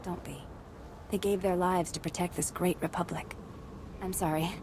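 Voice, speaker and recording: A woman's voice: lowish voice, steady tone, normal speed, background noise, close to an everyday voice. A woman playing an earnest, secretive ex-military diplomat and zealous patriot in a video game, speaking persuasively and solemnly. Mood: solemn, proud. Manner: persuasive, solemn, hushed at times.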